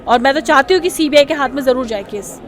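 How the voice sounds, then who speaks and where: A woman's voice: sits high, quick pace, a faint crowd. A woman speaks emphatically and insistently in an impassioned interview. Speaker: protester interviewed.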